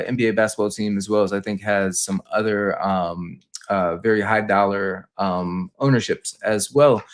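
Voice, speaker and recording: Male finance livestream pundit, lower register, unscripted, explanatory delivery with frequent stumbles and repetition. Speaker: stock commentator on a livestream.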